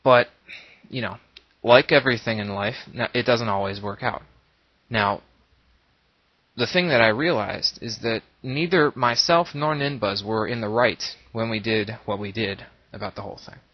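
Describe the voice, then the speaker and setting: A dull, lo-fi recording of a man with a fairly low, slightly nasal voice, a little stuffed up with a cold. He talks off the cuff in an earnest, reflective way, explaining his thinking like an online video creator. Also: normal speed. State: coming down with a cold. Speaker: YouTube Let's Play creator. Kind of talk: casual unscripted monologue. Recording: boxy echoing room, dull lo-fi microphone.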